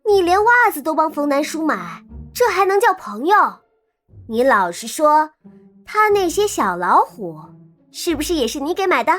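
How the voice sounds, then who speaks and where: Actress as high-pitched, animated teasing college girl. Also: normal speed, soft background music. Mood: curious, accusing. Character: lively, teasing young female college student, romance-obsessed gossip. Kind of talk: Chinese audio drama acting.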